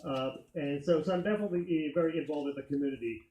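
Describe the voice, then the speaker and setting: A man's voice: on the high side, very fast, dark tone. A man from Finland introducing himself as a candidate at a community meeting, friendly and hesitant with many fillers. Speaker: state senate candidate. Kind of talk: campaign speech at community meeting. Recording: echoey meeting room.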